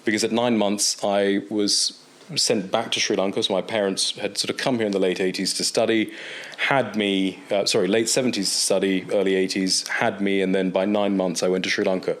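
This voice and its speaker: A man's voice: fairly low pitch, flat delivery, a little fast, fairly bright tone. A man in his forties, a guest in a casual interview, talking in a hesitant way with many fillers and repeated words. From England. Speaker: interview guest, tea company director. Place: indoor interview set in East London.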